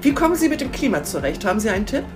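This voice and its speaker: Female interviewer, brisk and prompting, music clearly heard behind. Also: a little high, sing-song. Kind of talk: interview questions.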